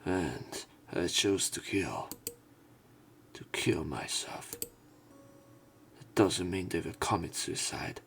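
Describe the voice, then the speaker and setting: A man reading visual novel text aloud as a let's player, in a measured, serious manner. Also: low voice, creaky, fairly bright tone, a little hiss or hum.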